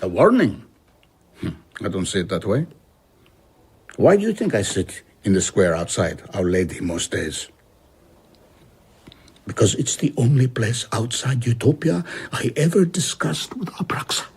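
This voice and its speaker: Husky, haunted older man. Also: on the low side, big pitch swings, unhurried, pauses often, darkish tone, exaggerated voice. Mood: regretful. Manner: reflective, earnest. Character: haunted, wistful older male former traveller. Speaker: narrator and central character. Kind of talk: audio drama narration and dialogue.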